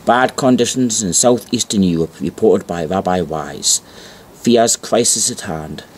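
A man giving an informal show-and-tell narration, reading aloud deliberately and repeating phrases for emphasis, on an amateur home recording. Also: fairly low pitch, a little mellow, a little hiss or hum. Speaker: amateur video presenter.

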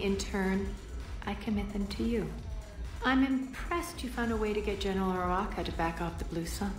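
A woman playing a haughty alien crime boss in a video game, sardonic, deliberate and commanding. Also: mid-range voice, natural voice. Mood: sardonic, cool. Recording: slightly roomy, music behind.

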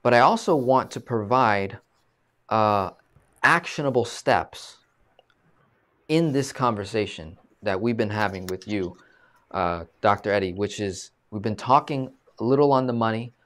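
A man in his thirties hosts a live video-call interview in a casual, animated way, leaning on fillers and restarts. His voice sits a little low. It is picked up by a webcam mic in a small room. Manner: animated, gestures a lot, many fillers. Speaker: host. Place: small room, plain green wall.

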